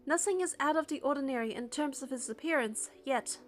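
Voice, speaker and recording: A woman narrator reading a first-person story from a script in an expressive, suspenseful, dramatic way. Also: high voice, fairly quick, soft background music.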